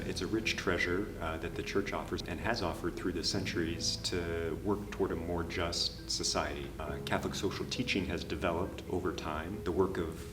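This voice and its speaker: A man speaking earnestly and in a measured way in an unscripted interview. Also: sits low. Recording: echoey room, distant mic, loud music. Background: music.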